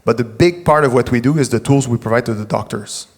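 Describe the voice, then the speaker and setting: A middle-aged French Canadian man addresses a live audience at a conference, talking easily and often restarting his phrases. His voice sits at an ordinary middle pitch. The sound carries the long reverb of a large venue hall. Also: fast talker, breathy edge. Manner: conversational, with frequent restarts and self-corrections. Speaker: tech executive giving a conference talk.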